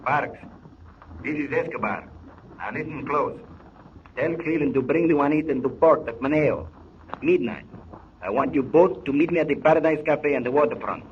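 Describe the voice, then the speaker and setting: An actor playing a terse, commanding criminal boss through a radio loudspeaker effect, in 1940s radio style. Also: male voice, fairly high pitch, lively intonation, moderate pace, pauses often. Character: commanding male criminal boss heard over ship radio. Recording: muffled noisy 1940 radio recording.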